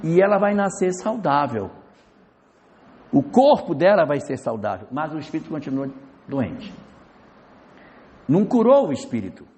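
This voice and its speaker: A man giving a spiritist lecture in a lecture hall, telling stories in a lively way and acting out the dialogue. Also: fairly high pitch, moderate pace, pauses often. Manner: storytelling, lively, acts out dialogue. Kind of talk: religious lecture. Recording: reverberant hall.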